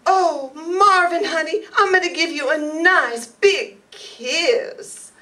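A woman's voice: extremely high pitch, slow pace, pushed and bright, full character voice. A woman playing a scheming, flirtatious gangster's wife in a radio noir, sugary and gushing, recorded in a hall. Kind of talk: 1950s radio noir acting. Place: stage in an indoor meeting hall, live reading. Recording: distant mic in a hall.

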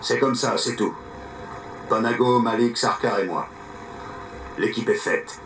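An actor playing a resolute supersoldier squad leader in a video game, firm and measured. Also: male voice, mid-range voice, noisy background, close to an everyday voice. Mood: grimly determined. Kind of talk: video game character acting.

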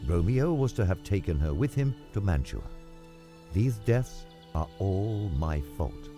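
A man as a low, solemn old friar. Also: big pitch swings, a little slow, music in the background. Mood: remorseful. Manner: grave, confessional. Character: solemn, remorseful elderly friar. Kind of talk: dramatized illustrated-classic audio play.

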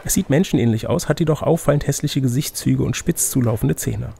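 A man's voice: medium pitch, brisk, gravelly, mellow, a little hiss or hum. A man reading an adventure gamebook aloud as game master, with casual, teasing banter. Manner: teasing, playful.

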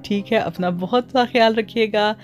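A woman's voice: fairly high pitch, normal speed, faint music behind. A woman with a Pakistani accent hosts a cooking tutorial in voice-over, mixing English words and phrases into her talk. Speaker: cooking channel host.

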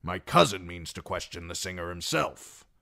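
A man voicing a gruff, indignant lord in a fantasy audiobook, growling his words forcefully. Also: low voice, lively intonation, moderate pace, heavily acted. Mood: grim warning. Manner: forceful, blustering. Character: gruff, growling, indignant old-guard nobleman.

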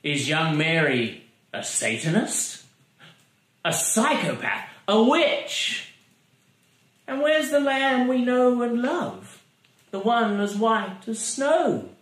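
Theatrical man reading slowly, big pitch swings. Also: sing-song, fairly bright tone. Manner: theatrical, mock-solemn. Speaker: poetry reader. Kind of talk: dramatic comic poetry reading. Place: living room by a fireplace. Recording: roomy camera sound.

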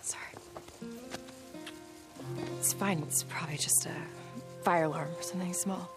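A woman's voice: lowish voice, steady tone, measured pace, in a whisper, over music, close to an everyday voice. An actress playing a caring, easily flustered young American professional in a video game, with noise around her. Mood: apologetic. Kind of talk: naturalistic video game acting. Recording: clearly noisy outdoor background.

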